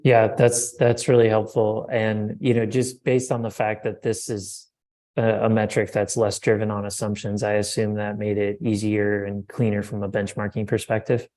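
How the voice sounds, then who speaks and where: A man's voice: lowish voice, flat delivery, moderate pace, darkish tone. A man hosting a business interview on a video call, asking conversational follow-up questions. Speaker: interview host.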